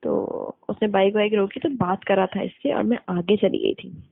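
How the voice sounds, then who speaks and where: A woman's voice: mid-range voice, moderate pace, creaky, husky. A young woman in casual, blunt conversation over a phone line, retelling events and quoting people. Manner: colloquial, blunt, quotes people as she retells. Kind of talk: casual chat, recounting school-days gossip. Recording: narrow dry phone-call recording.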